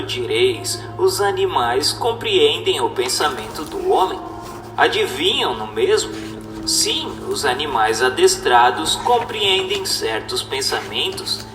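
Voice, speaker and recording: Slow, echoing man reading solemnly. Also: fairly high pitch, very animated. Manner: formal, didactic, deliberate. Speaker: narrator reading a spiritist text. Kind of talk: scripted, solemn dramatized reading. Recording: echoey reverberant voice with music. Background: music.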